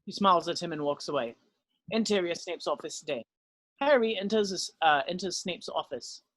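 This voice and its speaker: A man's voice: high-pitched, expressive, normal speed, fairly bright tone, low-quality recording. A man narrating stage directions for a script table read.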